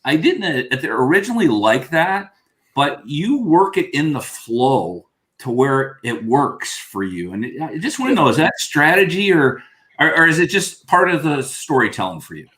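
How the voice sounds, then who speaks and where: A man's voice: on the high side, big pitch swings, moderate pace, a bit bright. Guy hosting a laid-back livestream chat, rambly, keeps restarting, upbeat. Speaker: livestream host. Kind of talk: casual group chat.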